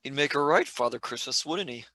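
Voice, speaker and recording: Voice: mid-range voice, animated, fairly quick, pushed and bright, dry recording. An actor playing a cheeky, loyal young sidekick in a radio play, blunt and colloquial in manner. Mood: cheeky, defiant.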